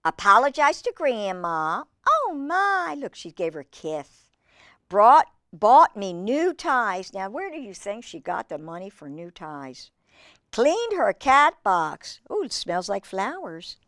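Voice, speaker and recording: A woman hosting a children's storytime, reading aloud with drawn-out emphasis and chatting in asides to young listeners. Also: mid-range voice, big pitch swings, a bit bright, dry recording, studio quality. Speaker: host reading picture books to children.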